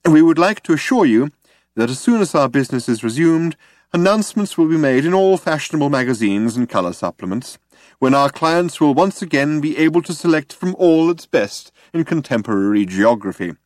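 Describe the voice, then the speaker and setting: An elderly gentleman of a recorded announcement, acted by a male storybook reader, speaks with formal courtesy. His coldly official politeness carries a clear threat, set a little high in pitch. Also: big pitch swings, brisk, dry recording, studio quality. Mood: politely menacing. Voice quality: hollow, reedy, insubstantial. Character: ancient recorded announcer, courteous old man, smoothly menacing.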